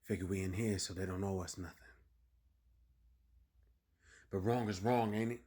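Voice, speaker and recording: A man performs a weary convict in a dramatic monologue, low and quiet. He is embittered and aggrieved, muttering clipped, terse phrases in rough street vernacular. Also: fairly even intonation, quick pace.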